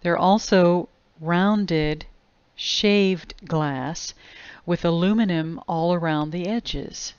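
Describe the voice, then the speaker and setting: A woman reads an explanatory voice-over very slowly and deliberately. Her voice is smooth and a little low, with a grave delivery. The recording is dry and somewhat muffled. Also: a little mellow, no echo. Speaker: narrator. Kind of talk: scripted explanatory video narration. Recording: dull lo-fi close dry voice-over.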